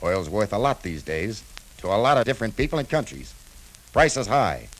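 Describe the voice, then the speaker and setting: An actor playing a tough, cynical hard-boiled adventurer, curt and sardonic, in a 1950s radio drama style. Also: male voice, on the low side, sing-song, normal speed, rough recording. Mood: wry, probing.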